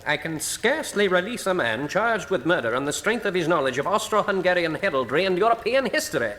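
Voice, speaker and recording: A high male voice rings in a big reverberant space as a pompous Victorian official. His delivery is stern, blustering and emphatic, swinging widely in pitch, in old-style radio drama fashion. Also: very animated, fairly quick, fairly bright tone. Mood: stern, accusing. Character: stern, indignant, by-the-book Victorian official, a titled gentleman. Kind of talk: full-cast radio drama acting. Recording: reverberant hall sound.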